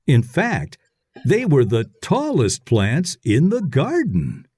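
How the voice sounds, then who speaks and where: Man, children's read-aloud, deliberate short phrases, mellow tone, very lively intonation. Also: medium pitch, no room sound, studio quality. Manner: short phrases, clearly separated for young readers. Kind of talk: slow, deliberate children's story narration.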